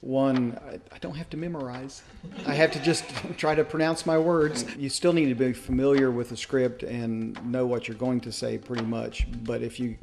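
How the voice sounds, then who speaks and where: A man's voice: medium pitch, fairly even intonation, moderate pace, creaky, hardly any pauses, music in the background. A man who acts in radio plays, talking casually in an interview. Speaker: radio play actor. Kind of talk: interview talk.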